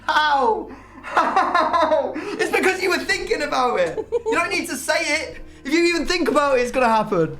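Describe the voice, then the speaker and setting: A man hosting a gaming video, hoarse from shouting, excitable and groaning with laughing disbelief. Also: extremely high pitch, normal speed, few pauses, soft background music. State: hoarse, voice strained. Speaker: YouTube gaming host. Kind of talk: casual gaming commentary.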